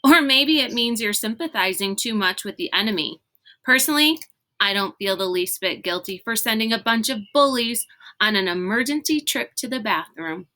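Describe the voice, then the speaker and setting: A woman's voice: a little high, expressive, normal speed, sharp tone, voice pushed up. A woman voicing a bold, breezy young girl in a storybook, flippant and confident as she teases her friends. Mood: defiant.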